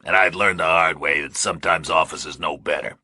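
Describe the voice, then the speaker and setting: A man performs a bitter ex-Marine for an audiobook. He is blunt and gruff, with a very low, husky voice and a weary temper. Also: steady tone, fairly quick, pushed and bright, no room sound, clean studio sound, exaggerated voice. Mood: bitter, resigned.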